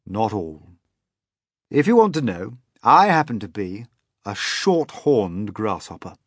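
A man puts on an old green grasshopper from a storybook, gentle and a little pompous. He explains things fondly, with a husky voice that rises and falls a lot. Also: on the high side, no echo, studio quality, pitched above the performer's own voice. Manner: kindly, lecturing, fond of his own skill. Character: old gentle male grasshopper, proud and learned.

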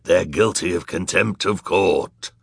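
A hoarse villain of a judge from a storybook, acted out by a male narrator. He is bossy and menacing, barking orders in a very dry recording. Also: sits low, unhurried, no room sound, full character voice. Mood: menacing, commanding.

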